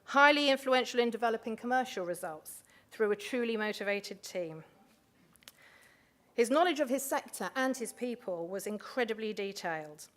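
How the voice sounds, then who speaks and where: A woman with a fairly high voice presents an awards ceremony from the stage. She talks in a chatty way, with frequent asides and fillers. Her voice comes through a microphone in a large hotel function room. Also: animated, moderate pace, a bit bright. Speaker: awards host.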